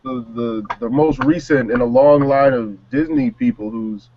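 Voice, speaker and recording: A man's voice: mid-range voice, sing-song, moderate pace, gravelly, slight background noise. A man from New Jersey giving casual, wry, blunt opinions as a panelist. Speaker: talk show panelist. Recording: dull lo-fi sound.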